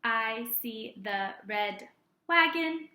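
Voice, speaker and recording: A woman teacher reading aloud to young children in a deliberate, sing-song way, asking questions and answering them herself. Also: a little high, lively intonation, roomy sound, background noise. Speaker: early-grades teacher.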